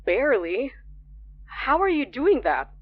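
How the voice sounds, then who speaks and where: A woman's voice: high-pitched, sing-song, measured pace, muffled recording, exaggerated voice. A woman playing an anxious, wary late-night radio DJ in a horror audio drama, hesitant and polite in a naturalistic way.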